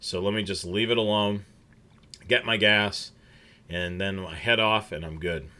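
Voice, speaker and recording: A man's voice: on the low side, fairly even intonation, unhurried, bright, forceful tone. A man hosting a casual livestream chat, rambling with many fillers and restarts. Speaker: scanner show host.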